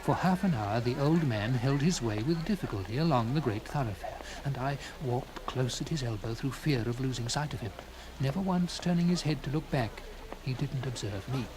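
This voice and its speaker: A man narrating a gothic story in the first person, with theatrical, deliberate phrasing and dramatic pauses. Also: medium pitch, lively intonation, background noise.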